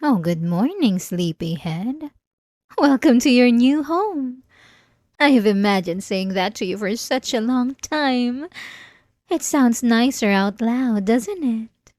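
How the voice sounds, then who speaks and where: Girl acting as ancient vampire lady, obsessive and possessive, slow silky menace, super dry close-up asmr sound. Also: fairly high pitch, expressive, a little mellow, dry recording. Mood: sweetly possessive. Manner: slow, silky, condescending endearments, teasing menace. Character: centuries-old female vampire, obsessive, possessive, sweetly menacing lover. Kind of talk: ASMR roleplay, dramatic monologue. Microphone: close, dry studio-style mic.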